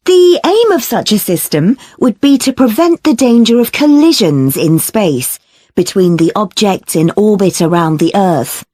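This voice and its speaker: A woman playing a lecturer, giving a scripted academic lecture in a measured, explanatory way. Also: mid-range voice, expressive, dark tone, no room sound, clean studio sound.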